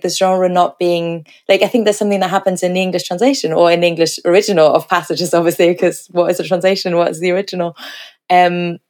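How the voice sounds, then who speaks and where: Lower-pitched woman interviewer, thinking aloud. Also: darkish tone. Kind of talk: conversational literary interview.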